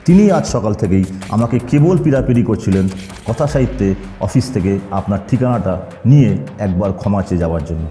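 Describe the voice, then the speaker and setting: A scared, apologetic gentleman, acted by a male narrator pleads humbly for forgiveness. The voice is dark and mellow, with echo around it, as in a horror audio story. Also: on the low side, animated, brisk, faint music behind, natural voice. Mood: remorseful, pleading. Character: frightened apologetic man begging forgiveness.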